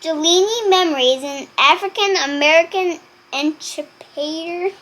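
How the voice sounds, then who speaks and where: A young girl reading aloud and chatting to viewers in a halting, deliberate way. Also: very high-pitched, fairly bright tone. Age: child.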